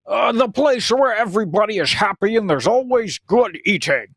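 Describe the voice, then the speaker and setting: A woman's voice: mid-range voice, lively intonation, moderate pace, breathy edge, no echo, studio quality. A woman playing an anxious, hesitant young male scientist with orthodox beliefs in a radio sci-fi drama. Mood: earnest, hesitant. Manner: hesitant, incredulous, protesting. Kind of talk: cold-read old-time radio sci-fi drama.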